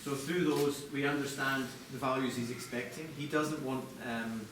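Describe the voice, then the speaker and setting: A man's voice: medium pitch, steady tone, moderate pace. Echoey, distant man giving a design presentation. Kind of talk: live product presentation to a group. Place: large echoey indoor venue, apparently a hotel. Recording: echoey noisy room, distant mic.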